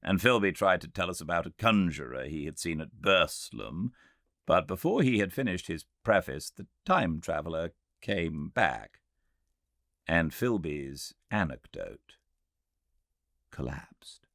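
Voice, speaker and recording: A man's voice: low voice, very animated, measured pace, a bit bright, dry recording, clean studio sound. A man with a British accent, an audiobook narrator reading and chatting to his listeners. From Britain. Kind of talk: literary narration and informal sign-off.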